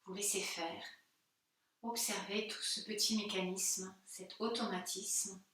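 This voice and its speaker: A woman, a yoga teacher giving calm, unhurried and soothing guided relaxation instructions. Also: medium pitch. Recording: very echoey room, distant microphone.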